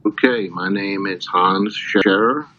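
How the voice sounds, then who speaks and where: A man's voice: lowish voice, slow pace, sharp tone. An American man in his early fifties plainly introducing himself in an interview. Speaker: interviewee, father. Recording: dull, lo-fi sound.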